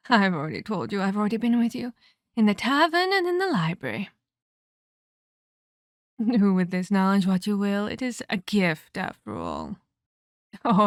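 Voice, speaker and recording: Ancient female vampire mentor played by a woman for ASMR roleplay: mid-pitched, measured, condescending and teasing, quietly menacing, recorded dry. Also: no echo. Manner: condescending, teasing, measured, addresses listener as child.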